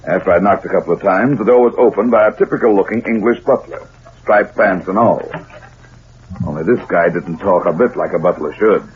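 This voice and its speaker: An actor playing a heavyset, unflappable private detective with wry slang, in old-time radio noir. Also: male voice, low-pitched, a little fast, lightly acted. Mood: wry, cool. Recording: tinny vintage radio recording.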